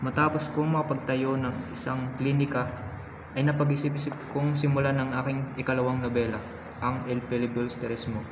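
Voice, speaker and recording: A man's voice: medium pitch, little pitch movement, brisk, lightly acted. A man playing a dutiful, earnest grown son in an amateur radio drama, reciting politely and formally. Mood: resigned, earnest. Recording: echoey hall, dull sound, clear background noise.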